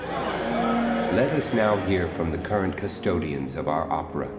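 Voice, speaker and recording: A man playing a grave, formal inquest chairperson, speaking in measured, solemn oratory in an audio drama. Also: sits low, animated. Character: grave, formal male inquest chairperson addressing an opera house. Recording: cavernous reverberant hall, faint music bed.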